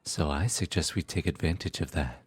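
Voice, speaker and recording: A man acts out a scheming trickster god in a fantasy audio drama. His voice is deep and a touch rough, recorded dry and close, with lively swoops as he teases with unhurried, theatrical politeness. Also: dry recording, full character voice. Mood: smug. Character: sly, teasing trickster god, smooth-talking schemer. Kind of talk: audio roleplay acting.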